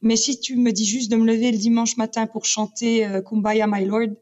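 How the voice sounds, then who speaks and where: A woman's voice: fairly high pitch, normal speed. A woman from British Columbia, a guest talking passionately about her faith, with many restarts. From Canada, British Columbia. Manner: passionate, animated, restarts often. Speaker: guest speaker. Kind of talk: casual chat. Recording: dull lo-fi sound.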